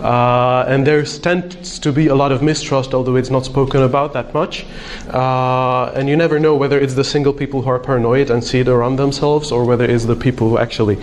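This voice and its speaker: Guy giving a conference talk, lots of uhs, a bit of faint hiss behind. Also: mid-range voice. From Slovakia. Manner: hesitant, many uh fillers, self-deprecating humor. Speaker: conference speaker.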